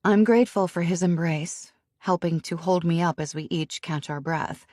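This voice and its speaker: A woman narrating a romance novel in the first person, in an intimate, even storytelling manner. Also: lowish voice, moderate pace, no echo, studio quality. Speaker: audiobook narrator.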